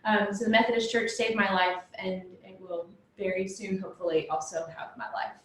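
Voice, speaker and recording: A young woman on church staff introducing herself earnestly on a panel, often pausing with um. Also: mid-range voice, steady tone, a bit bright, slight background noise. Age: young adult. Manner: earnest, with frequent ums. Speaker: church staff, ministry candidate. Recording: very echoey room.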